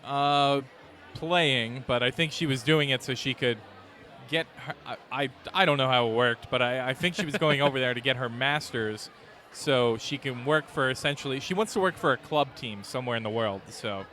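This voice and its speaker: A man's voice: mid-range voice, moderate pace. Laid-back young man chatting outdoors. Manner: relaxed, rambling, with many fillers and restarts. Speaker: podcast host and editor. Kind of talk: casual chat over beers. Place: outdoors at a brewery patio.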